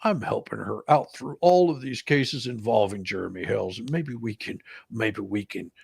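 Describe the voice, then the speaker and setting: A man playing an evasive, bumbling lawyer on the witness side of a comic deposition reading, hesitant and stammering, with a gravelly, husky voice. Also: fairly low pitch, normal speed, darkish tone. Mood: flustered, dithering.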